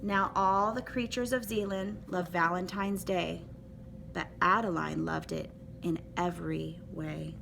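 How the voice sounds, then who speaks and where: A woman reading a children's picture book aloud with an expressive, rhythmic delivery. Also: on the low side, a little slow, vocal fry, noisy background. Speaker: storybook reader.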